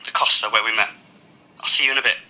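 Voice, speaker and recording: A man's voice: fairly low pitch, fairly even intonation, fast, bright, forceful tone, slight background noise, rough recording. A young man acting in a radio drama, playing a pleading, manipulative ex-boyfriend through a telephone filter. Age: young adult. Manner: pleading, persuasive.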